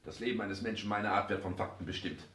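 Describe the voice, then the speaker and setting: Actor playing a domineering son, deep-voiced, roomy sound. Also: male voice, fast, a bit bright, lightly acted. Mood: impatient, commanding. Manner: declamatory, commanding, clipped. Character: driven, domineering adult son and social climber. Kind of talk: German radio play acting. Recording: roomy 1960s radio studio sound.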